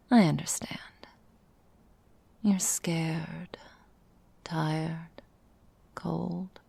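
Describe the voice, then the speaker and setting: Woman doing a tenderly menacing vampire mistress for asmr, super slow breathy whisper, lots of crackly fry. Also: on the low side, mellow, dry recording, exaggerated voice. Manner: slow, velvety, formal old-fashioned phrasing. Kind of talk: intimate ASMR roleplay.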